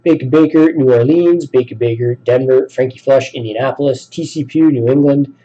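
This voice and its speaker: A man hosting a sports card break, a bit sick with a raspy voice, listing names and teams and chatting casually. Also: medium pitch, normal speed, mellow, highs cut off. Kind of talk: live card break commentary.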